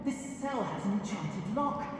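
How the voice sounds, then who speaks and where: Expressive elderly wizard trader. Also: male voice, high voice, moderate pace, slight background noise. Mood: desperate, urgent. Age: older. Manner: earnest, expressive, rueful. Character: anxious, grateful elderly wizard metal trader. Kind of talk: video game dialogue. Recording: game music behind the voice.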